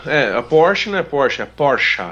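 An animated Brazilian man hosts a motorsport talk show, chatting and laughing easily with his co-hosts. His fairly high voice comes through with a dull, lo-fi sound. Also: measured pace, music in the background. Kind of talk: casual podcast chat.

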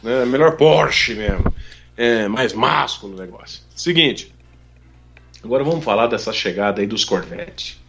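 A man's voice: medium pitch, expressive, unhurried, gravelly, fairly bright tone, highs cut off, faint music behind. A Brazilian man hosting a motorsport show, chatting animatedly and laughing often. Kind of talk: casual podcast chat.

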